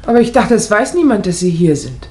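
An actress playing a curious, questioning wife in an echoey, noisy 1960s German radio crime drama recording. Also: female voice, mid-range voice, sing-song, fairly quick, warm tone, soft background music, close to an everyday voice. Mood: curious, puzzled. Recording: echoey, noisy old vinyl radio play.

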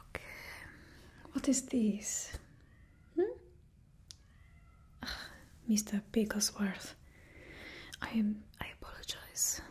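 Girl doing a fake russian villain stylist, super breathy whisper, high and slow, all fussy and dramatic. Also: dark tone, exaggerated voice. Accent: put-on Russian. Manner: fussy, theatrical, doting. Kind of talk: ASMR roleplay. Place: small indoor studio, painted backdrop. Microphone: close studio mic.

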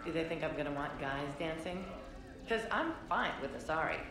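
Brash, low-voiced soldier woman. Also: lively intonation, moderate pace, crowd noise. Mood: pushy. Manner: loud, blunt, teasing. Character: brash, rowdy, tipsy female soldier on shore leave. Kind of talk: video game dialogue acting. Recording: very echoey bar, music behind.

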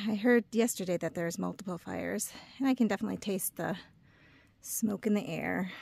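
A woman's voice: mid-range voice, vocal fry, a little mellow. A woman in her forties, filming herself on a phone at arm's length while hiking outdoors in mountain woods, talking in a chatty, upbeat way. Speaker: hiking vlogger. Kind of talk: casual trail vlog narration. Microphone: phone held at arm's length.

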